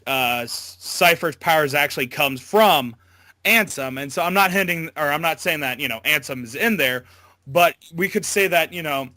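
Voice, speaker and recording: A man's voice: a little high, pushed and bright, no room sound. A man in a casual interview, talking as an amateur voice actor in a hesitant, rambling, informal way.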